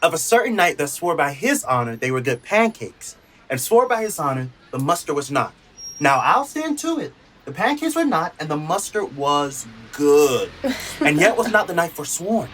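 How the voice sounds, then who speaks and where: A man's voice: fairly high pitch, very animated, moderate pace, fairly bright tone, slight background noise. A man playing a cheeky, mock-solemn court fool with playful, teasing Shakespearean patter in a radio play.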